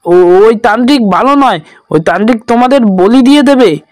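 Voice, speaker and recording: A man's voice: extremely high pitch, animated, fairly quick, darkish tone, no room sound, studio quality. A man voicing a worried young village man urgently warning neighbours in a horror cartoon. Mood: alarmed. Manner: urgent, pleading.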